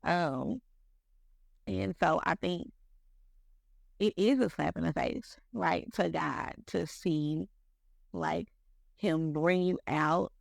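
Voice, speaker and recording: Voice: mid-range voice, slow pace, gravelly, long pauses, no echo, studio quality. A speaker in their mid-thirties talking about faith on a podcast, in a rambling way, leaning on "like" and "you know" and repeating words. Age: 30s. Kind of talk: casual faith talk.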